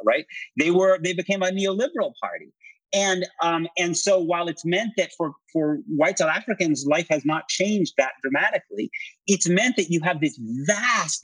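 Earnest man, higher-pitched, slightly husky voice. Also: a bit bright, no room sound, low-quality recording. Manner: earnest, halting with repeated words, frequent "you know" and "right?". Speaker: interview guest, political commentator. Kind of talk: conversational political analysis.

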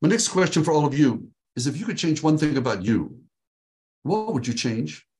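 A man's voice: on the high side, big pitch swings, a little mellow. Upbeat joking man coaching a video call. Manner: upbeat, joking, slows down to dictate phrases. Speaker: workshop leader. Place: his home.

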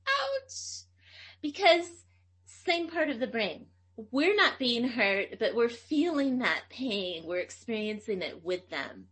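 A woman from Tennessee, who grew up speaking Greek, giving a slow, emphatic lecture on public speaking. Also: a little high, sing-song, a bit bright. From Tennessee, USA. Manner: slow, deliberate, emphatic phrasing.

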